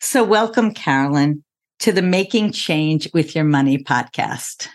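Woman, podcast host, friendly, attentive, fairly low voice. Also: expressive, a little slow. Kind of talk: podcast interview.

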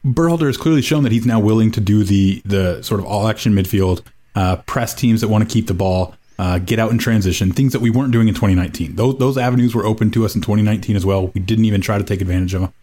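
A man's voice: on the low side, fast talker, warm tone. A man co-hosting a casual soccer podcast, giving a wry, rambling analysis full of fillers. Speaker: podcast co-host.

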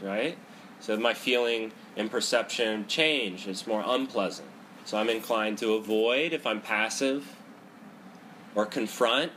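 A man of ordinary pitch, talking loudly through a head cold. He teaches a seated group about meditation in a relaxed, conversational way. He keeps checking in with "right?" and "you know.". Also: slight background noise. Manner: loud talker. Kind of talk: Buddhist psychology talk to a group. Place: indoor room with a group, seated cross-legged.

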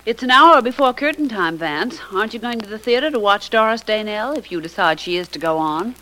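Pert, flirty companion. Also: female voice, mid-range voice, expressive, brisk, bright, forceful tone, rough recording. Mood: eager.

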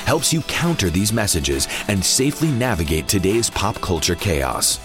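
A man's voice: deep voice, big pitch swings, normal speed. A man reading a scripted, dramatic show intro as an announcer. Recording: voiceover over music.

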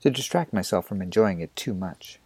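A man's voice: low-pitched, animated, moderate pace, darkish tone, slightly rough, full character voice. A man playing a wry, awkward ninth-grade boy with a grown-up mind, hesitant and thoughtful, in an audio drama. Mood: shy, awkward.

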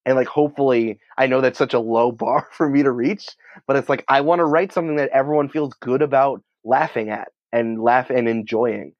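A man talking casually as an interview guest, in an excitable, rambling, informal way peppered with filler words. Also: mid-range voice, a little fast, hardly any pauses, dry recording, lo-fi sound. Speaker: podcast writer and producer, interview guest.

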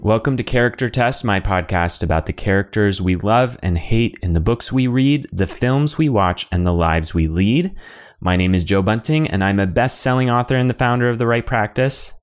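Low-voiced upbeat male podcast host. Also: moderate pace, smooth, faint music behind. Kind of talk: scripted podcast presenting.

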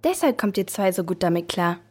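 A woman's voice: lowish voice, expressive, a little fast, darkish tone, husky. A woman playing a sympathetic, slightly hesitant young girl who talks casually in a children's audio drama. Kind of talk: German children's radio play acting.

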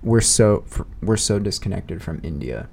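A man's voice: low-pitched, very animated, moderate pace, gravelly, a little mellow. An American man hosting a podcast, chatting casually in a colloquial, musing way. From United States. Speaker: podcast host. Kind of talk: casual chat.

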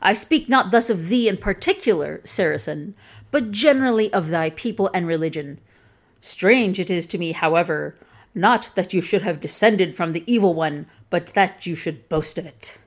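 A woman's voice: lowish voice, expressive, normal speed. A woman with a Chicago accent reading the lines of a haughty male crusading knight in solemn, archaic declamation. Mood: grudging, haughty.

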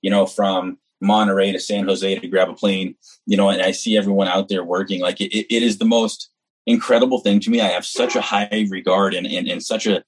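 A man's voice: deep voice, fairly even intonation, fairly quick, breathy edge, poor audio. A man from New Hampshire, an executive chef, speaking as a guest in a casual podcast interview with earnest enthusiasm. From New Hampshire, US East Coast. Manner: enthusiastic, earnest, talks in long run-on stretches.

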